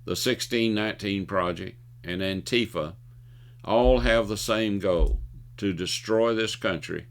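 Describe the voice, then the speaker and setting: A man's voice: on the low side, unhurried. An older man giving scripted, opinionated commentary in a folksy way, now and then stumbling over words as he reads. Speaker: host of a video commentary show. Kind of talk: scripted commentary with jokes and anecdotes.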